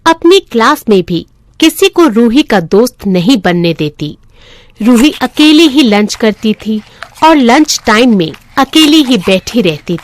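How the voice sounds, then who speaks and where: High-pitched animated woman storyteller. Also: dry recording. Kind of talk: scripted children's moral story narration.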